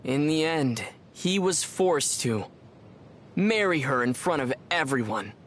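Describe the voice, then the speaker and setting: A man playing a young adventurer hero in a video game, with a youthful voice and a measured, thoughtful, gently wry manner. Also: on the high side, lively intonation, slight background noise. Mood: storytelling. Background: music.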